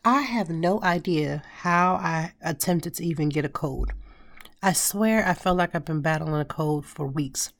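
A woman in her thirties hosting a casual solo podcast, hoarse and raspy from a cold, chatting and telling stories straight to her listeners. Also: low voice, a little slow, dry recording. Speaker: podcast host.

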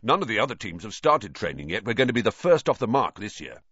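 A man voicing a burly teenage sports captain, hearty, brisk and fanatically keen, in an audiobook. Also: on the low side, big pitch swings, a bit bright, slightly rough, dry recording, dull audio, exaggerated voice.

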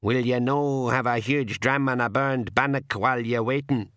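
A man's voice: mid-range voice, moderate pace, fairly bright tone, no room sound, studio quality, lightly acted. A man voicing a crafty, wizened old male pixie in a storybook, with a cracked voice and a Scottish accent. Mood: calm, welcoming. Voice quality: cracked, aged voice.

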